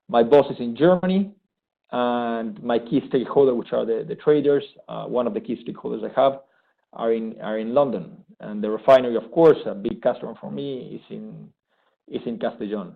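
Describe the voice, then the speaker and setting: A man, giving an eager, halting testimonial with many restarts. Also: mid-range voice, creaky. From Argentina. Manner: enthusiastic, halting with frequent restarts. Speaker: graduate program participant. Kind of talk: unscripted testimonial. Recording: thin phone-like sound.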